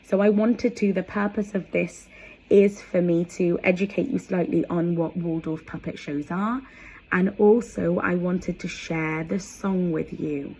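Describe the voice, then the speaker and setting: Low, mellow woman explaining things. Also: fairly even intonation, unhurried, slightly rough, poor audio. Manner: explanatory, conversational asides while demonstrating props. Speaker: educator presenting storytelling props. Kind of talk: informal instructional vlog.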